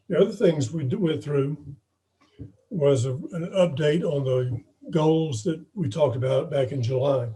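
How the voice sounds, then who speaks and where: Man, hoarse, mellow tone, slightly high pitch, halting delivery, informal meeting report, video call. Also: rough recording. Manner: halting, throat-clearing. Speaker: club president reporting to members. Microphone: video call microphone.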